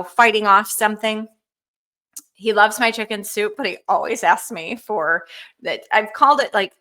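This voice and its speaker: A woman hosting a live canning Q&A, chatty and enthusiastic, with frequent ums and a slightly hoarse voice. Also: medium pitch, sharp tone. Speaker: canning cookbook author hosting a live show. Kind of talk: casual live Q&A cooking demo.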